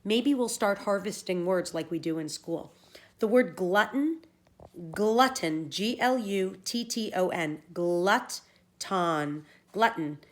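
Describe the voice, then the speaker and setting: A woman's voice: mid-range voice, expressive, slow. A woman teacher reading a story aloud to children, expressively and with explanation.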